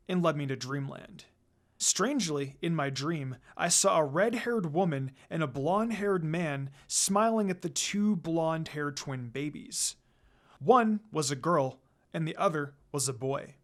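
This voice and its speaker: High-voiced man reading a story aloud. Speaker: fan fiction reader.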